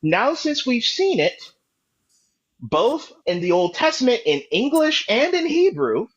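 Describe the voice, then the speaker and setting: A husky-voiced man hosts a call-in debate, talking slowly and swinging his pitch widely as he presses each point. He cuts in with repeated phrases until he gets the floor. The sound is dull and lo-fi, like a streamed call. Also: big pitch swings. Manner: insistent, repeats himself to interrupt. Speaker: apologetics debate host.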